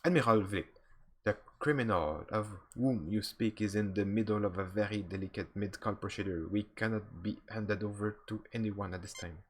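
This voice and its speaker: A man voicing a firm, principled male starship captain in a game, reading aloud plainly with a husky voice and the odd stumble. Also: lowish voice, moderate pace.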